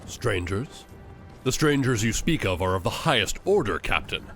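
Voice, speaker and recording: A man as a low-voiced, proud witch hunter. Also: very animated, fairly bright tone, soft background music. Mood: earnest, insistent. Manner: measured, formal, persuasive. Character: zealous, proud, smooth-talking male witch hunter. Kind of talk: fantasy audio drama acting.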